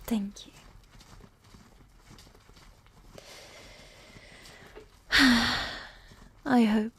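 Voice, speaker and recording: A woman playing a possessive, condescending evil warrior queen who dotes on her spouse, speaking in soft, breathy half-whispers in a medieval ASMR roleplay. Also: fairly high pitch, exaggerated voice. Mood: tender, solemn. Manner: slow, regal, formal phrasing with sighs.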